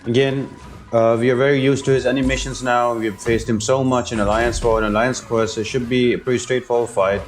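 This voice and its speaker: A man's voice: mid-range voice, little pitch movement, a little fast. A man giving an informal, explanatory game walkthrough as a video host, talking directly to viewers. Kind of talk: casual game walkthrough commentary.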